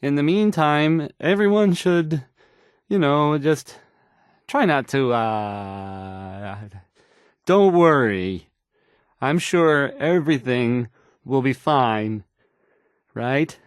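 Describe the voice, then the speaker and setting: An actor playing a nervous, bumbling, insecure young radio DJ in a game, hesitant and stammering through the news. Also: male voice, medium pitch, lively intonation, drawn-out words, long pauses, clean tone, no room sound, studio quality. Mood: uneasy. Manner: stammering, hesitant, self-doubting. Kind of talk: halting radio news announcing.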